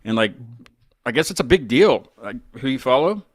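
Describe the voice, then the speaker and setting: Lively male host, dry close sound. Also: mid-range voice, moderate pace, dry recording. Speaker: host of a band interview show. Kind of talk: casual interview chat.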